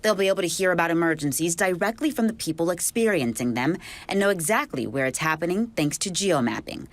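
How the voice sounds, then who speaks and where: A woman's voice: lowish voice, expressive, fast. A woman with an American accent narrating a scripted television news report.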